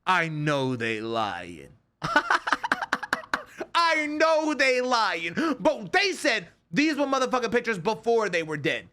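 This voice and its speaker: An American man giving casual, slangy, profane reaction commentary in an animated, exclamatory, blunt manner. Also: high voice, slow pace. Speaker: reaction video host.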